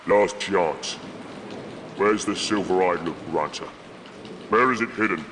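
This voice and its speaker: A man's voice: low voice, a little slow, fairly bright tone. A man voicing a large, enraged bear-like alien thug in an audiobook, making threatening demands. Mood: menacing, enraged. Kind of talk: audiobook character acting. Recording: long cavernous reverb, faint noise.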